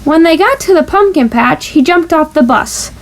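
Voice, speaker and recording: A woman's voice: sits high, animated, normal speed. A woman reading a children's storybook aloud, playfully stressing the sound-effect words. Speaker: storybook reader.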